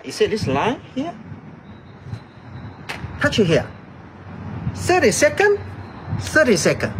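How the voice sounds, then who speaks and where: A man's voice: fairly high pitch, noisy background. A middle-aged man, not a native English speaker, leading an exercise demonstration outdoors in short, simple, repeated phrases and counts. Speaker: health exercise instructor. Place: outdoor terrace by a brick building.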